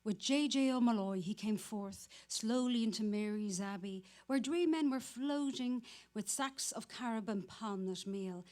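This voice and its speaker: A woman's voice: fairly high pitch, a little slow, few pauses, warm tone. A woman narrator giving a scripted dramatic reading of literary prose.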